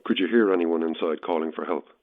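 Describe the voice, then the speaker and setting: A deep-voiced Irishman pretends to be a reporter in an audio horror drama. He is probing, insistent and quietly menacing. His voice comes filtered through a narrow telephone line. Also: fast. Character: prying, menacing man posing as a newspaper reporter. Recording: thin band-limited phone line.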